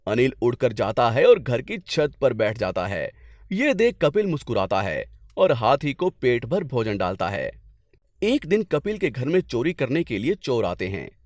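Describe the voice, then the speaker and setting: Animated male storyteller, close dry sound. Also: medium pitch, no echo. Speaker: narrator. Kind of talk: animated scripted folk-tale narration.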